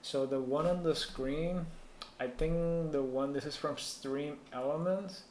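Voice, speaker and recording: A man's voice: a little high, measured pace, a little mellow. A man from Puerto Rico whose native language is Spanish, chatting casually as a live stream host and thinking aloud in a rambling way. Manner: rambling, thinking aloud while reading chat. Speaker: live stream host giving PC troubleshooting advice. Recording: echoey room with faint noise.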